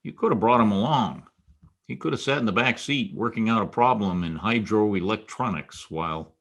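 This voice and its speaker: An actor playing a wisecracking, world-weary private detective in a noir radio drama, wry and sardonic. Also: male voice, on the low side, moderate pace, a bit bright. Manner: wry, sardonic, clipped tough talk. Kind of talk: old-time radio noir acting and first-person narration.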